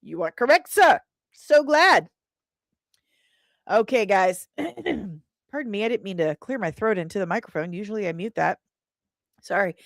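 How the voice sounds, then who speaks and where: A woman's voice: mid-range voice, expressive, unhurried, creaky, pauses often, no echo, studio quality. A woman hosting an entertainment livestream, talking casually and playfully as she reads and answers chat comments. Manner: playful, chatty, irreverent, with pet names and slang. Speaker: host of an entertainment news livestream.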